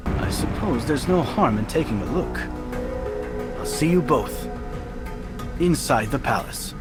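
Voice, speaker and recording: An actor playing a shrewd, cautious fortune-telling master thief in a game cutscene, deliberate and wry. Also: male voice, medium pitch, animated, loud music behind the voice, lightly acted. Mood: thoughtful. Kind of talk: dramatic game cutscene acting.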